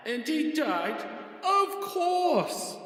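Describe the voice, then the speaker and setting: A man playing a pompous doctor at a meeting in a radio play, with an exclamatory, drawn-out delivery. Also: very high voice, very animated, fairly bright tone, soft background music, heavily acted. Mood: incredulous. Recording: echoey hall reverb.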